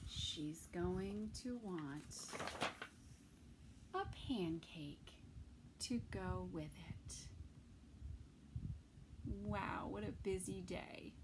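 A middle-aged woman reading a picture book aloud to toddlers, expressively, with pauses between phrases. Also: on the low side, heavy background noise. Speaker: children's story time reader. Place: small indoor room with bookshelves.

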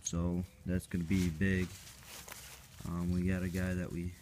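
A man's voice: sits low, monotone, a little slow, darkish tone, slight background noise. A young man giving a rambling, hesitant walkaround tour of his farm outdoors, filming on a handheld phone. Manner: rambling, hesitant, unsure of plans. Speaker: farm vlogger. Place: outdoors on a farm yard and woods.

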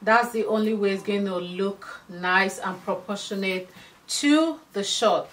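Slow-talking woman fashion vlogger. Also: mid-range voice, fairly bright tone, poor audio. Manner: chatty, affectionate, addresses viewers as darlings. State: losing her voice. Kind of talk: casual styling talk to camera.

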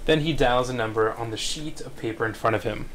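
A man's voice: medium pitch, a little fast, fairly bright tone, slight background noise, rough recording. A man narrating the stage directions of a screenplay at a live table read.

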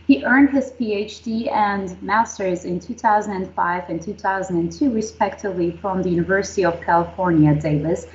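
A woman in her thirties hosting a livestream interview over a video call, chatty and friendly, with filler words. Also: fairly low pitch. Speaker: host. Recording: muffled video call audio.

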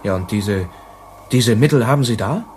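A man's voice: lowish voice, lively intonation, normal speed, a little mellow, a little hiss or hum, natural voice. An actor playing an impatient, sceptical young male manager in a sci-fi radio play, questioning and pressing.

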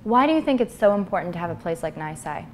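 Host: young woman, lowish voice, polished and measured, lapel mic with faint room ambience. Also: sing-song, a little mellow, slight background noise. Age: young adult. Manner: polished, measured presenter delivery. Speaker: interview host. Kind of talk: scripted introduction and interview questions. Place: office with bookshelves. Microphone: clip-on lapel mic.

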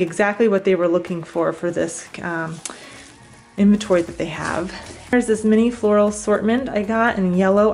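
A woman's voice: medium pitch, a little mellow. A woman in her thirties gushing over home decor in a casual vlog, recorded at home on a camera mic. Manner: enthusiastic, gushing. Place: home dining room.

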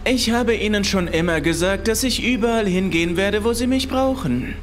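A man's voice: high-pitched, animated, moderate pace, faint music behind, pitched above the performer's own voice. A man voicing an eager young male scientist, earnest and willing, in a horror audio story. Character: eager young male ocean scientist answering his boss.

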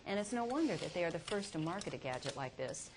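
A woman's voice: lowish voice, big pitch swings, fast talker, background noise. A woman reporter narrating a scripted promotional product report.

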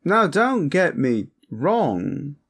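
A man's voice: a little high, sing-song, a bit bright, slightly rough, heavily acted. A man voicing a lecherous, flamboyant vampire protector in a comedic audio roleplay, smug, pompous and suggestive. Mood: suggestive, coaxing. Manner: theatrical, drawn-out, suggestive, pompous. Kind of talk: comedic character roleplay monologue, talking to the listener.

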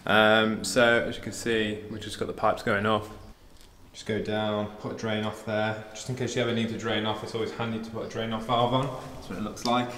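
An English man in his thirties talks through a hands-on job in a relaxed, informal way. His voice sits slightly low. It rings around a bare, echoey room, with faint noise behind it. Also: brisk. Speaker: DIY renovator and plumber demonstrating pipework. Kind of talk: casual hands-on tutorial. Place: indoors, empty room under renovation. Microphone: handheld or propped camera at close range.

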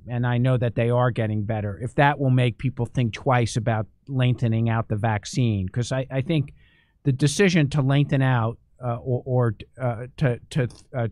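A man's voice: mid-range voice, darkish tone, dry recording. A middle-aged man hosting an interview, asking earnest conversational questions into a studio microphone. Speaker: host. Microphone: studio microphone on stand.

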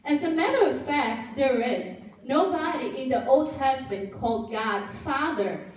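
A woman's voice: sits high, lively intonation, a little slow. A woman voicing a cheerful, helpful girl puppet in a church puppet show, explaining things patiently, recorded from far off in a very echoey hall. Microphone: far camera.

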